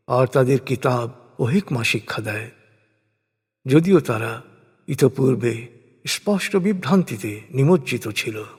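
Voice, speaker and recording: A man reads translated scripture as a solemn scripted narration, in measured, deliberate phrases with pauses between them. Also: a little high, a little mellow, husky. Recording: long reverberant hall echo.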